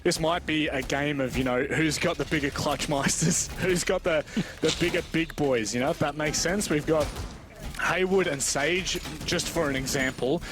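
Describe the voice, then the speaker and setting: A man's voice: on the high side, normal speed. A man giving chatty, colloquial live esports play-by-play commentary. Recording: gunfire and clicks behind voice. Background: video game gunfire.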